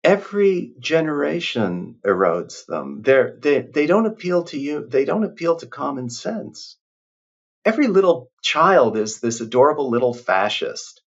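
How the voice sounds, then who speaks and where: A man's voice: fairly high pitch, big pitch swings, measured pace. A man, a professor, giving reflective, emphatic interview answers with frequent restarts. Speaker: professor, guest. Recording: dull lo-fi sound.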